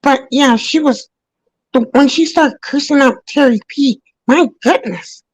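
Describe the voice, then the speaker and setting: Very high-voiced woman chatting. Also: unhurried, rough recording. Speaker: guest joining a live stream. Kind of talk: casual chat.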